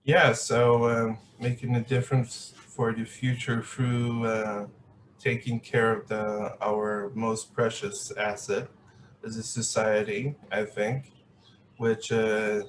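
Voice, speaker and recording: A man hosts a podcast and asks questions slowly in a flat, even voice, often stopping on "uh". Faint background noise sits under his voice. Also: fairly low pitch. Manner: slow, halting, with "uh" fillers. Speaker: podcast host. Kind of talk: podcast interview.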